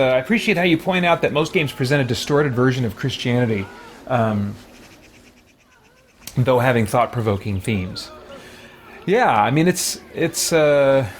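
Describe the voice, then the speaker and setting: A man hosting a game stream, casually commenting on play and answering chat in a musing, halting way as he thinks aloud. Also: medium pitch, slight background noise. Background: music.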